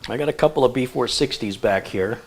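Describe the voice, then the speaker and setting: A man hosting a live stream, chatting casually and thinking aloud with relaxed fillers. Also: medium pitch, brisk. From United States. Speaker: show host.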